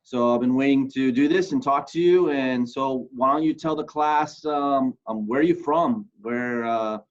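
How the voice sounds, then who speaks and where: A man with a voice of ordinary pitch hosts an interview for a class. He asks loose, friendly questions that often start over. The audio is dull and lo-fi. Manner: friendly, informal, halting questions.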